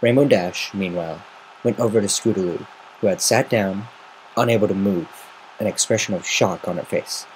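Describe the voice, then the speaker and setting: A man's voice: fairly low pitch, normal speed, a little hiss or hum, low-quality recording. A man narrating a story in a semi-dramatic amateur reading. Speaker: amateur fan fiction reader.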